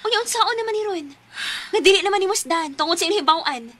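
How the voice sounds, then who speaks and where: A woman's voice: very high-pitched, lively intonation, quick pace, fairly bright tone. A woman playing a concerned, reproachful confidante in a radio drama.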